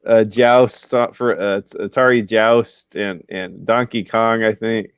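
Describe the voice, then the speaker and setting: A man's voice: lowish voice, fairly even intonation, slow pace, clear, resonant tone, no room sound. A man in his forties hosting a podcast, chatting casually and agreeing often. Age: 40s. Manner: agreeable, with frequent "yeah" backchannels. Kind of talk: casual chat. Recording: dry, slightly dull sound.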